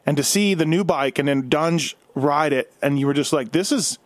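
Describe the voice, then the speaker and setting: Higher-voiced man hosting a casual interview. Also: moderate pace, no echo. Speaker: podcast host, former race mechanic.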